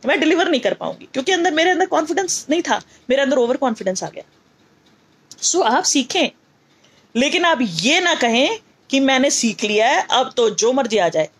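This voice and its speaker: A woman's voice: high-pitched, animated, normal speed. A middle-aged woman with a North Indian accent giving a motivational lesson in mixed Hindi and English, emphatic and repetitive, often asking rhetorical questions. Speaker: teacher and motivational speaker.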